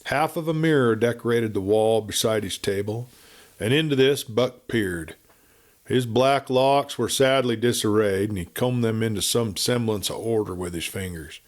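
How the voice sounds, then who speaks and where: A man reading a western novel aloud as scripted narration, in an expressive, dramatic storytelling manner. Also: on the low side, normal speed. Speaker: audiobook reader.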